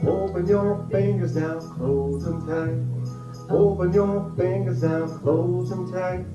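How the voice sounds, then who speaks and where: A woman's voice: on the low side, fairly even intonation, slow. A woman singing a children's action rhyme in a repetitive sing-song. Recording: echoey, with loud plucked guitar music. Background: plucked guitar accompaniment.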